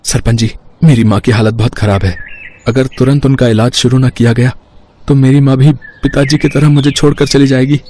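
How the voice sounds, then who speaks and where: A man's voice: mid-range voice, steady tone, moderate pace, dark tone, a little hiss or hum, close to an everyday voice. A man voicing a poor, earnest young village son in a moral story cartoon, pleading yet determined. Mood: worried, pleading.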